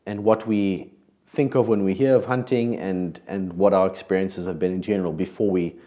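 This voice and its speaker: A man's voice: deep voice, fairly even intonation, moderate pace, mellow. A man from South Africa with a South African accent, hosting a podcast in a casual, conversational way with frequent restarts. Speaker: podcast host.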